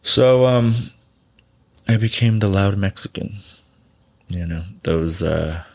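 Deep gravelly man telling his story. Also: slow, darkish tone. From Southern California. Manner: blunt, profane, laughs often. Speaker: interview guest. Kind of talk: candid personal storytelling.

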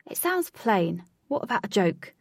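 A woman's voice: mid-range voice, sing-song, unhurried, breathy edge, dry recording, studio quality, far from the mic, exaggerated voice. A woman voicing an eager, naive, lovestruck young man who is easily bewildered, in a story. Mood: unsure.

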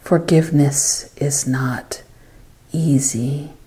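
Slow, low-voiced woman leading meditation. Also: fairly even intonation, mellow. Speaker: guided meditation leader. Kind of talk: guided meditation, scripted devotional narration.

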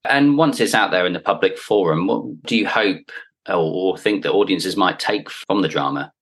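A man's voice: low voice, moderate pace, fairly bright tone, breathy edge. A man hosting a podcast, asking questions in a casual interview style with tentative, hedging phrasing.

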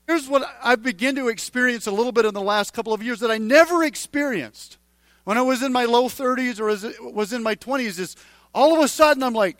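A man in his forties giving a conversational sermon as a pastor, halting and restarting phrases as he speaks straight to his congregation. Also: very high voice, a bit bright, no room sound. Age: 40s.

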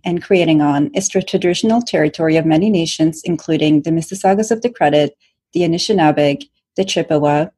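A woman in her twenties from Norway co-hosting a podcast, explaining things in a steady way. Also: sits low, breathy edge. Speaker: podcast co-host. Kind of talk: podcast introduction.

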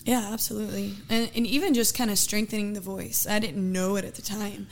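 A woman singer from Texas, a guest, talking casually with lots of fillers and easy laughs, her voice a little hoarse. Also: mid-range voice, fairly even intonation, a little fast, faint music behind. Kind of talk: casual interview chat.

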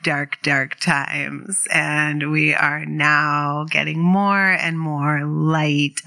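Slow, bright-voiced woman guiding meditation. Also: low-pitched. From New England, living there. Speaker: meditation teacher. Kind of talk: guided meditation instruction to an online community.

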